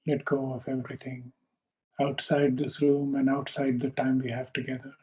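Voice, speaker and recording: A male yoga teacher leads a guided meditation in a medium-pitched, level voice. He speaks calmly in short, measured phrases and leaves space between instructions. The delivery stays even, with little melodic movement.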